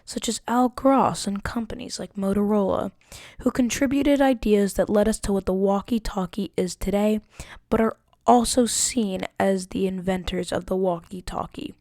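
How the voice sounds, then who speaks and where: A woman's voice: medium pitch, normal speed, darkish tone, no echo, studio quality. A woman narrating a student history project, reading carefully from a script with an occasional stumble. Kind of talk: scripted narration read aloud.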